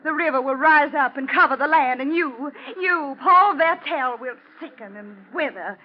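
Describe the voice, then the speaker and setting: An actress playing a cold, taunting wife bent on revenge, in an ominous, theatrical 1940s radio drama style. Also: female voice, high-pitched, normal speed, lightly acted. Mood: vengeful, menacing. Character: vengeful wife, cold, taunting, avenging her father. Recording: muffled, noisy old radio recording.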